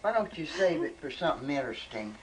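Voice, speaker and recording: Folksy older woman, lowish voice, noisy recording. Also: sing-song, fast. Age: 70s. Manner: folksy, joking, teasing. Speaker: grandmother being filmed at home. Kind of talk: casual family chat. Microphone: camcorder microphone across the room. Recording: noisy amateur home video.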